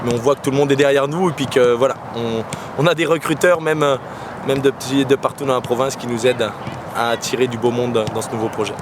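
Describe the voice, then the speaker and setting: A man speaking as a soccer coach in an outdoor interview, talking quickly with many restarts and fillers. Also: mid-range voice, fairly even intonation. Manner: rapid, full of restarts and fillers. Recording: noisy outdoor background.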